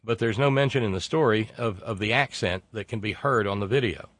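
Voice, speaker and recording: A man's voice: on the low side, fairly bright tone, no echo. Guy hosting a chatty live stream, lots of uh and restarts, dead-dry close sound. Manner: rambling, halting with many fillers and restarts. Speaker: host. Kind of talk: casual livestream talk.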